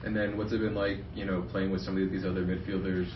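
Low male voice, dead-flat delivery, halting reporter question, echoey distant recording. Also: muffled recording, background noise. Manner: halting, filler-laden. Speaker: sports reporter. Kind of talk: press interview questions.

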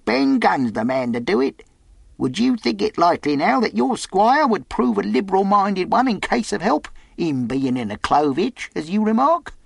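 A storybook castaway, an old pirate gone half crazy from solitude. The voice is very high and swoops wildly. He is sly, childish and eager, talking in rough, ungrammatical sailor's speech and repeating himself. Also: big pitch swings, moderate pace, no echo, heavily acted. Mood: shrewd, wheedling.

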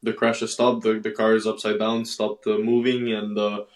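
A young man, a racing driver from Ecuador raised in Miami, talking casually in an interview with measured, halting phrasing. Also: lowish voice, completely flat delivery, echoey room. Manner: measured, halting with fillers and restarts.

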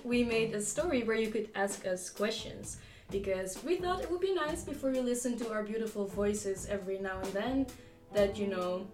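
A woman's voice: mid-range voice, normal speed, a little mellow, roomy sound, faint music behind. A young woman from the Netherlands hosting a casual student radio-club podcast in an upbeat, friendly way. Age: young adult. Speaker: podcast host.

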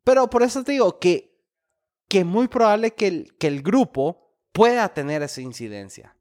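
A man, a podcast co-host chatting casually and telling things with slangy, animated asides. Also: high-pitched, normal speed. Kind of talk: casual group chat.

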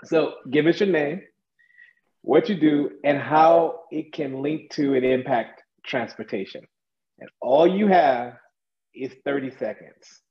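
A man's voice: a little high, takes it slowly. A man hosting a panel discussion, upbeat and joking as he moderates over a video call. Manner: playful, joking, enthusiastic. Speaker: panel host. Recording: echoey, dull room sound.

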